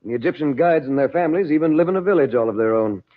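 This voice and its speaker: An actor playing a calm, authoritative adventurer hero, firm and reassuring, in an old-time radio serial. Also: male voice, fairly high pitch, rapid-fire, lightly acted. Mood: commanding. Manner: firm, reassuring, take-charge. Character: calm, authoritative magician hero, protective uncle. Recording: tinny, band-limited old radio recording.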